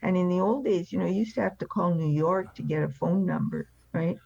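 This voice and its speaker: An older woman speaks as an interview guest with a crackly, fry-laden voice. She is blunt and emphatic as she shares personal experience. The recording is dry and slightly dull. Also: on the low side, fairly quick, darkish tone, dry recording. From France. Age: 70s or older. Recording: slightly dull, band-limited sound.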